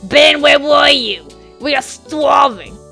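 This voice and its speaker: Woman as a little monster girl, high-pitched, bold, suspicious, blunt, drawn-out game character voice, music behind. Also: vocal fry, sharp tone, exaggerated voice. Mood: whiny.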